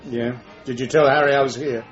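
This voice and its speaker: A man's voice: mid-range voice, fairly even intonation, brisk, lightly acted. A man playing a middle-aged, cynical, wisecracking chief inspector in a naturalistic radio drama, with a blunt, bantering manner. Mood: weary grumbling. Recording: dull 1980s radio drama recording, music.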